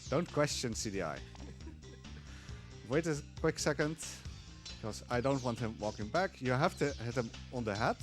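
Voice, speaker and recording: A man giving casual live speedrun commentary, explaining haltingly with dry humour. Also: medium pitch, expressive, over music. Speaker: speedrunner at a gaming marathon.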